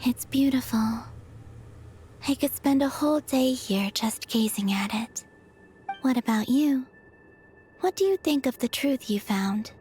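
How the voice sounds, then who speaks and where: An actress playing a serene, quietly curious young moon maiden in a video game, with a soft, calm, unhurried delivery. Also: female voice, high voice, slight background noise. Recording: music behind the voice.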